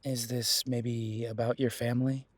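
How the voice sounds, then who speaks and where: A man playing a protective, worried werewolf detective boyfriend in an intimate roleplay audio drama, speaking softly and reassuringly with hesitant pauses. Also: medium pitch, flat delivery, dry recording, lightly acted. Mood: concerned.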